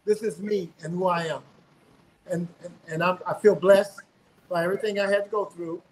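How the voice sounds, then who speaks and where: Man, high voice, earnest, repeats words, video call, faint background hum. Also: steady tone, normal speed, darkish tone, rough recording. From South Carolina, US. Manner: earnest, repeats words while finding his thoughts. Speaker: guest, singer. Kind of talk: casual interview. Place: home room indoors.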